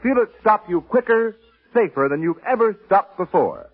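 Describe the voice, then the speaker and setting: A man's voice: sits high, animated, moderate pace, breathy edge. A man announcing in the emphatic, declamatory style of a 1930s radio advertisement. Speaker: announcer reading a sponsor message. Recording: tinny old radio recording, music behind.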